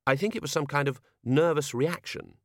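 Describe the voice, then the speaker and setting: A calm young woman, as a male narrator puts her on, answers in short, clipped, matter-of-fact phrases. The sound is dry and close. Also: on the high side, normal speed, no room sound, pitched above the performer's own voice, lightly acted. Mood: terse. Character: cool, terse young woman, Death's granddaughter.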